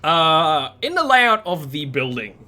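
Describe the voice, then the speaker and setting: A young Englishman with a crisp southern accent from near Cambridge, talking loosely as game master between scenes. His voice is fairly high and bright, and light music plays faintly behind him on an online call. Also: unhurried. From England. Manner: relaxed and chatty, hesitant with fillers. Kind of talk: casual chat between game sessions.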